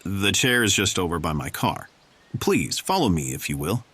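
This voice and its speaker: A man acting an overfamiliar, chipper middle-aged businessman in an audiobook. The voice is deep and rumbling yet bright, with animated, courteous, folksy delivery. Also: normal speed, husky, full character voice. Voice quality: low, rumbling. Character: tall, chipper, overfamiliar businessman in his forties.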